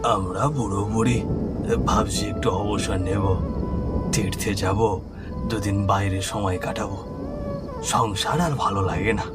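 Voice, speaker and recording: A man's voice: on the low side, steady tone, a little slow, long pauses, natural voice. A man voicing a weary, resigned aging village father in a cartoon. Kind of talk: cartoon voice acting. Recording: loud music behind voice.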